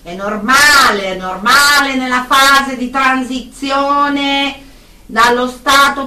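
A woman's voice: on the high side, pushed and bright, exaggerated voice. A woman playing a stern, controlling group therapist in a radio drama, speaking formally and with authority. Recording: roomy, distant mic, faint noise.

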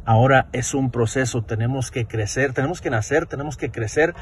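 A man works as a live interpreter, moving quickly between two languages with plenty of fillers and restarts. His voice sits at a middle pitch, and a faint background hum runs underneath.

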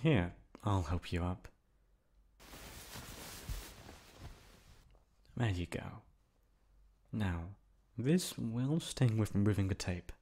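Guy doing a possessive yandere prince for asmr roleplay, lowish creaky voice, formal stiff wording, lonely and obsessive. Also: slow, warm tone, slight background noise. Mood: tender, controlling. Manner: formal, stilted diction, swinging from tender to commanding. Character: possessive, lonely, obsessive young prince holding a captive lover.

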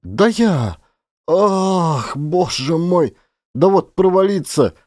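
Man playing a high, flustered tavern keeper. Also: lively intonation, slow pace, a little mellow, no echo, soft background music. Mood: panicked protest. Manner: flustered, exclaiming. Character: panicky tavern keeper, protesting and swearing innocence. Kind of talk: one-man audio play.

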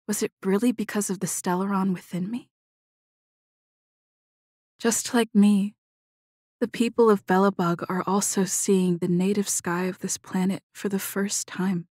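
A young female space traveler from a video game. She is calm and reflective, with a measured delivery and quiet resolve. Her medium-pitched voice sounds close and dry, free of any room. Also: breathy edge, no echo. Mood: pondering. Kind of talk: scripted video game character voice lines.

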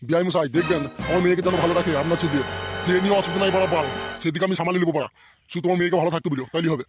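A man's voice: a little high, fairly even intonation, racing, music in the background, natural voice. A man voicing a bossy, blustering village man in a comedy cartoon, with crude speech. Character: bossy, foul-mouthed village man at a wedding. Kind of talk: amateur comedy cartoon voice acting.

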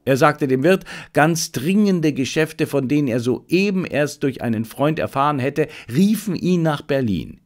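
A man voicing a confident gentleman amateur detective in an audiobook, self-assured and commanding, given to reflection. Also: a little high, expressive, measured pace, hardly any pauses. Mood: brisk reporting.

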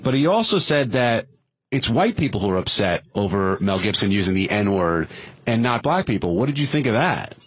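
A man's voice: fairly low pitch, very animated, moderate pace, husky, no echo. A man hosting a casual radio discussion, steering topics and asking questions. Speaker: radio wrap-up show host.

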